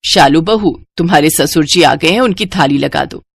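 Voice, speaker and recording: Woman acting a mild older mother-in-law, lowered pitch. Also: moderate pace, vocal fry, husky, dry recording, full character voice. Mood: mild concern. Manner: mild, yielding. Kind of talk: acted dialogue in a moral story.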